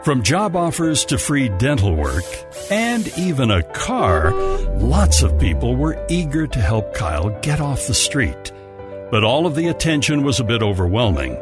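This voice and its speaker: A man delivers scripted narration for a radio drama. His mid-pitched voice is animated and varied, with music clearly playing behind him. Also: unhurried.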